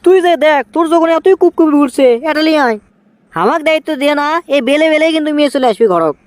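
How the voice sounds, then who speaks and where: A man's voice: very high voice, expressive, quick pace, no room sound. A man voicing a village father who scolds and coaxes his grown son, in comic cartoon dialogue with a Bogura accent from northern Bangladesh. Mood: scolding. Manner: bossy, scolding, then coaxing.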